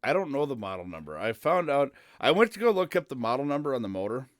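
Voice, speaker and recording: A man's voice: medium pitch, very animated, fast, dry recording, clean studio sound. A man from central Minnesota, chatting casually and rambling on a live stream. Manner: rambling and easygoing, with frequent "uh" fillers.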